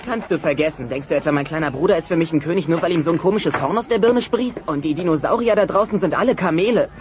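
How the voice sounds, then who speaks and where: A man plays a sarcastic older-brother dinosaur with a fairly high voice. He is sulky in this audio drama. The recording is dull and noisy. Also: fast talker, lightly acted. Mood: scornful. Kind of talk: German audio play acting. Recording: dull, noisy cassette transfer.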